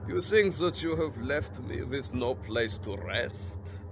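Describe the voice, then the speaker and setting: A man's voice: medium pitch, expressive, takes it slowly, background noise. A man playing a menacing, possessive vampire count in a radio drama, taunting, gloating and theatrical. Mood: gloating menace.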